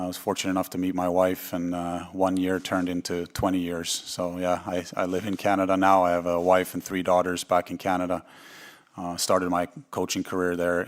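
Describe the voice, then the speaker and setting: A Swedish-born man in his forties, a newly hired head coach, answering press conference questions in a measured way and often repeating words as he thinks. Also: deep voice. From Sweden.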